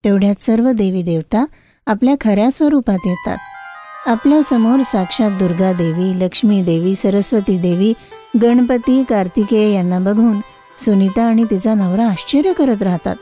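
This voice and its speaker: A woman's voice: medium pitch, moderate pace, dark tone. A woman narrating a scripted moral tale for children as a storyteller. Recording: music behind the voice. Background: background music.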